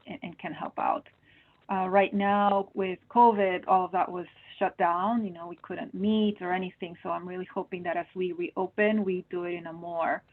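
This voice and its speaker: A woman speaks with a fairly low, gravelly voice. She answers webinar questions earnestly and thinks aloud as she goes, doubling back on her words. The sound is thin and narrow, like a video call heard over a phone line. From Peru. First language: Spanish. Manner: earnest, thinking aloud with many repeated starts. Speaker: medical oncologist, panelist.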